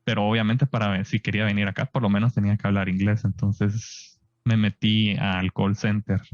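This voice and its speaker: A man in his thirties from Guatemala with a Guatemalan Spanish accent, telling his story in a relaxed, chatty interview with frequent tag questions. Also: lowish voice, normal speed, no room sound. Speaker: guest scientist. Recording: dull lo-fi dry podcast audio.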